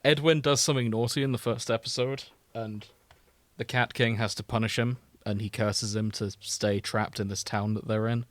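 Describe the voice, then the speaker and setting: A man's voice: on the low side, steady tone, normal speed, no room sound. Man bantering on a podcast, dry sound. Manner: teasing, sweary. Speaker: podcast co-host. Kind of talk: casual banter about TV with friends.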